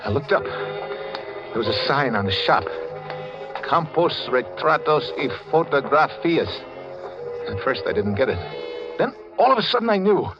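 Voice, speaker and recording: A man's voice: on the high side, big pitch swings, measured pace, pauses often, over music. An actor playing a tough, bitter man on the run in a 1940s radio noir thriller, speaking in a clipped, hard-boiled way. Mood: tense narration. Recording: dull vintage radio recording.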